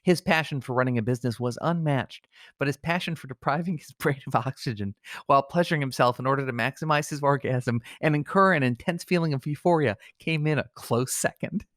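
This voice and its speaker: A man's voice: medium pitch, brisk, fairly bright tone, dry recording, clean studio sound. A man co-hosting a comedy podcast, moving between banter and mock-solemn reading.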